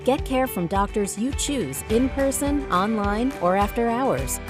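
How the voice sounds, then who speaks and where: Animated woman ad announcer. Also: medium pitch. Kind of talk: scripted ad voice-over. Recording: music bed under voice.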